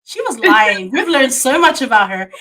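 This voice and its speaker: A woman's voice: high-pitched, very animated, normal speed, sharp tone. A woman hosting a podcast over a video call, chatting casually and laughing often, calling her guest "sis". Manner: bubbly, laughs often, says "sis" and "fam". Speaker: podcast host. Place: Japan.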